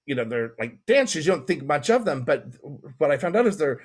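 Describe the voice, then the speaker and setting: A man's voice: fairly high pitch, sing-song, a little fast. A middle-aged man co-hosting a podcast, chatting casually in a jokey, anecdotal way. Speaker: podcast co-host. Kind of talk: casual conversational podcast chat.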